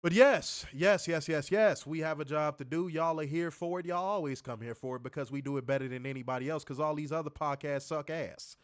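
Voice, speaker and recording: A man's voice: on the high side, fairly quick, hardly any pauses. A man co-hosting a wrestling review show, ranting bluntly and profanely while stuffed up with a cold. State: congested, stuffed up. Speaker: podcast co-host.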